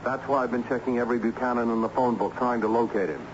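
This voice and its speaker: An actor playing an earnest, learned schoolteacher who speaks insistently and didactically in a radio drama. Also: male voice, medium pitch, fairly even intonation, fast, close to an everyday voice. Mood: troubled. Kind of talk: old-time radio drama acting. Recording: muffled, noisy radio drama recording.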